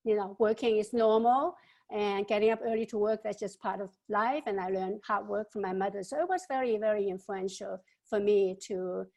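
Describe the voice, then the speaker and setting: A woman, giving an interview answer about her life. She speaks haltingly, with many fillers and repeated words. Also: medium pitch, fairly even intonation, darkish tone. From China. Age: 70s.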